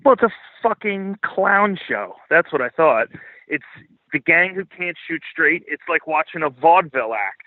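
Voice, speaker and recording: A man's voice: a little high, animated, moderate pace, dry recording. A man giving blunt, opinionated commentary as a podcast guest, emphatic and often profane, repeating his points. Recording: thin phone-like line, dry.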